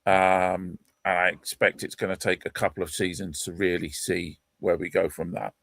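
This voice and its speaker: A British man co-hosting a casual podcast, talking in a measured, slightly hesitant way. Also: sits low, flat delivery, gravelly, a bit bright, no echo. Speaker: podcast co-host.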